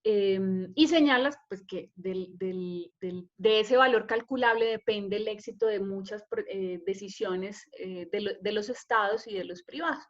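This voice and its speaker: A woman's voice: mid-range voice, measured pace, hardly any pauses, muffled recording. A woman moderating an academic panel, asking long layered questions in a courteous way.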